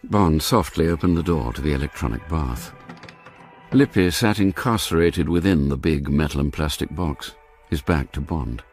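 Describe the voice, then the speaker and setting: A man's voice: a bass voice, moderate pace, darkish tone, soft background music. A man narrating a radio drama from a script, in literary storytelling style.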